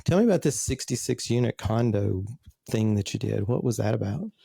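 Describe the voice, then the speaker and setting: A man's voice: on the low side, expressive, a little fast, creaky, dark tone, breathy edge, dry recording. A man in his fifties hosting an interview, reading a guest introduction and then chatting loosely with fillers. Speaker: podcast host. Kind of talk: interview host.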